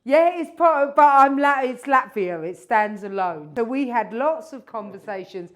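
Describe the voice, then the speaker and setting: A woman's voice: a little high, expressive, normal speed, fairly bright tone. A woman from London with a London accent, co-hosting a casual podcast chat in a reflective, agreeable way. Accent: London English. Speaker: podcast co-host.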